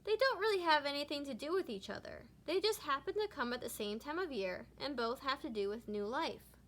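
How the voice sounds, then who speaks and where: A woman voicing a kindly male bear preacher from a storybook, explaining things in a measured, earnest way. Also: high-pitched, expressive, fairly bright tone, a little hiss or hum. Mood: patient explaining.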